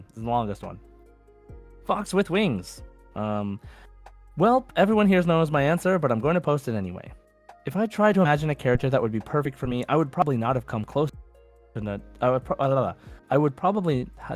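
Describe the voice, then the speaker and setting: A man's voice: medium pitch, lively intonation, fairly quick, soft background music. A man hosting a podcast in casual chat, reading out listener messages with informal, goofy asides. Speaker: podcast host.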